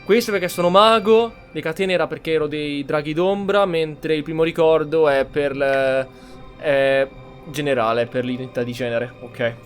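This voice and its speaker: Fast-talking Italian man commenting on a game. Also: on the high side. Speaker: gaming streamer. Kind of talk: casual playthrough commentary. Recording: game music behind the commentary.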